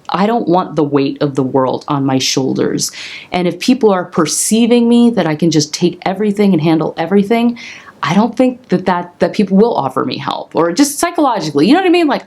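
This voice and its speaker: A young adult woman with a commanding voice, telling her personal story to the camera in a candid, expressive way. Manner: expressive and candid, with lively jumps in pitch. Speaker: vlogger.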